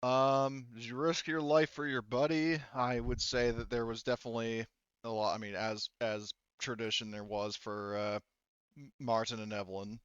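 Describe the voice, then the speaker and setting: A man's voice: medium pitch, flat delivery, normal speed, a bit bright, smooth, no echo, dull audio. A man running a tabletop game as game master, talking casually to his players in an informal, sweary, rambling way.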